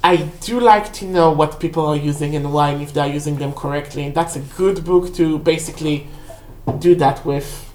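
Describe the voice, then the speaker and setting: A woman, a native Hebrew speaker, casually rambling through a book review for a vlog in a halting, self-correcting way. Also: low voice, darkish tone, slight background noise. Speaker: book haul vlog host. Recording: roomy, echoey indoor sound.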